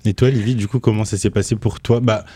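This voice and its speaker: A man interviewing students in a relaxed way, prompting them with questions. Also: lowish voice, quick pace, breathy edge. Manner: relaxed, prompting with short backchannels.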